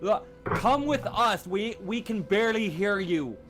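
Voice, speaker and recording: A man's voice: sits high, lively intonation, a little slow, fairly bright tone, soft background music, voice pushed up. A man voicing a confused outpost guard in a tabletop game, calling out hesitantly over wind. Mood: raised, brusque.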